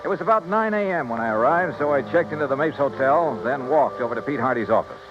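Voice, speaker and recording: A man's voice: medium pitch, lively intonation, quick pace, natural voice. An American man playing a wry, breezy insurance investigator in a 1950s radio drama. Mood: brisk, matter-of-fact. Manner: breezy, wisecracking. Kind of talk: 1950s radio detective acting and narration. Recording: muffled vintage 1950s radio recording, music.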